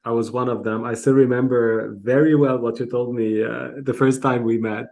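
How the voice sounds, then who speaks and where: A man interviewing in a conversational, appreciative way, phrasing haltingly with repeated words. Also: mid-range voice, little pitch movement, creaky, a little mellow.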